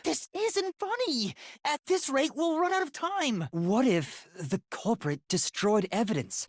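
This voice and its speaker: An earnest, boyish young detective from a video game. His voice is light and husky, with lively swings in pitch, and he reasons things out carefully yet sounds easily rattled. The recording is dry and close. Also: no echo, exaggerated voice. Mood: panicked.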